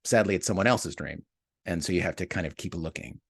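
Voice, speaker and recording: Man, very low voice, close dry sound, casual reflective interview answers, halting, thinking aloud. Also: vocal fry, husky, no room sound. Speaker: audio drama writer and producer, interview guest.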